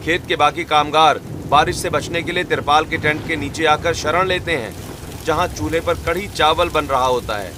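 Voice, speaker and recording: A man's voice: a little high, normal speed, sharp tone, slight background noise. A man reading scripted narration for a cartoon story.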